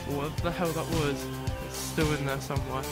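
Young guy, fishing vlogger, rambling outdoor chat at arm's length, voice a touch high, music bed. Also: little pitch movement, quick pace. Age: young adult. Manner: chatty, rambling, addresses viewers as guys. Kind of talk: casual outdoor vlog. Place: riverbank outdoors in winter. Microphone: handheld camera at arm's length.

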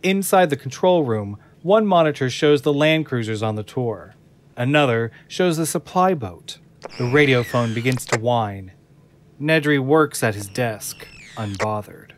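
A man narrating an audio drama from a script, in measured, descriptive storytelling. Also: mid-range voice, expressive, background noise. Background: faint music.

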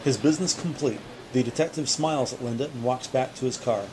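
Male audio drama narrator, mid-pitched. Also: brisk. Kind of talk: scripted audio drama narration in present tense. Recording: vehicle and ambient noise behind.

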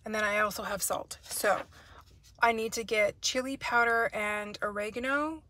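A woman in her thirties chatting to a handheld phone camera, listing ingredients and thinking out loud, first in a parked car and then in her kitchen. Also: medium pitch, moderate pace, no room sound. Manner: chatty, lists items aloud, thinks out loud. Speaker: home cooking vlogger. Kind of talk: casual talk to camera. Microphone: phone camera held at arm's length.

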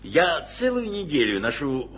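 Casting: fussy, rambling editor, lively up-and-down delivery, dull old tape. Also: male voice, fairly high pitch, a little slow, a little hiss or hum. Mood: wistful. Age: older. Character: kindly older male newspaper editor. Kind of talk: 1980s radio play acting. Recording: dull old radio play recording.

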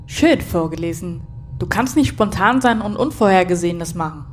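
A woman's voice: on the low side, lively intonation, normal speed, slight background noise, close to an everyday voice. A woman playing a blunt, sarcastic, easily exasperated space station captain in a sci-fi audio drama. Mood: dry, dismissive. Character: sardonic, irritable female space station captain.

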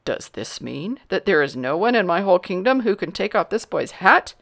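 A woman voicing a blustering, commanding old king who booms out imperious orders in a storybook. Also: big pitch swings, moderate pace, creaky. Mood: angry, bellowing.

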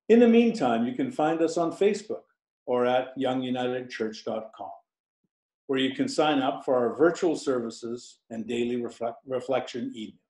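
A man's voice: mid-range voice, a little mellow, reverberant. A man reading a scripted announcement as a church announcer.

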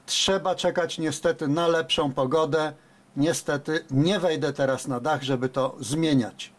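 Higher-voiced man making radio calls. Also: steady tone, a little slow, a little hiss or hum. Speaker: amateur radio operator, host. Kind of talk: radio call-outs and casual commentary. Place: indoor room at a radio desk.

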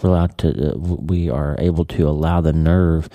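A stuffy-nosed man from the United States hosts a casual podcast monologue. His voice sits very low and warm. He speaks earnestly and repeats words as he works out his thoughts, recorded dry and close. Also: fairly even intonation, moderate pace, dry recording. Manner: earnest, direct, repeats words while thinking. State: congested, stuffy nose. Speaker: podcast host, neurosurgeon.

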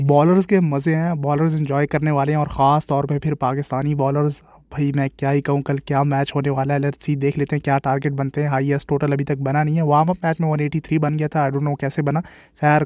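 A man's voice: fairly high pitch, little pitch movement, fairly quick, darkish tone, no room sound, clean studio sound. A man giving chatty, opinionated vlog commentary while walking outdoors and filming himself. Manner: chatty, opinionated, keeps saying "bhai". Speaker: cricket vlogger. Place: outdoors, walking along streets and parks.

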